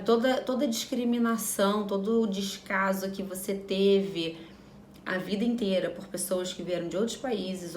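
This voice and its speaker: A woman's voice: medium pitch, darkish tone, roomy sound, slight background noise. A Brazilian woman in her thirties talking frankly to camera in a casual vlog, repeating phrases for emphasis. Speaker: vlogger.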